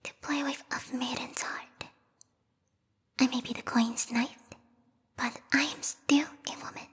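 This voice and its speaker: A woman voicing a lethal yandere vampire bat girl, a queen's knight with formal, theatrical speech, in a breathy whispered ASMR roleplay. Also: high-pitched, steady tone, measured pace, a bit bright, full character voice. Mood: wounded, suspicious. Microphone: close binaural microphone. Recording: reverberant hall-like echo.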